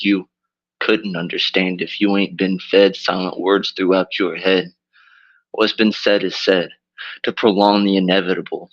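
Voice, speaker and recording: A man with a raspy voice, drunk and loopy, delivering a dark rap verse slowly and deliberately. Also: sits low, muffled recording. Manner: slow, deliberate delivery of dark, intricate rhymes. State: drunk and loopy after dental surgery. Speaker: rapper performing a verse. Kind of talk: slow spoken-word rap.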